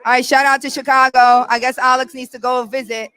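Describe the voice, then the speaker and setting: A woman hosting a casual podcast chat at a live event, animated and enthusiastic. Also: sits high, a little fast. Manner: animated, enthusiastic, talks with her hands. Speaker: podcast host.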